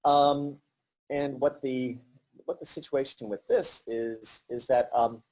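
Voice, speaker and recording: A middle-aged man giving a halting virtual artist talk over a video call, with many pauses and restarts. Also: mid-range voice, fairly even intonation, a little mellow, low-quality recording. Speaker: artist presenting his work.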